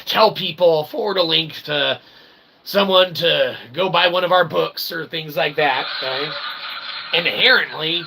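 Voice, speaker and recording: A middle-aged man with a fairly high, gravelly voice rambles through a comedic monologue as show host. His wording is mock-formal and roundabout, punctuated by little check-in tags. Clear background noise sits behind him. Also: measured pace, pushed and bright. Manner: mock-formal, wordy, with repeated "okay" and "right" tags.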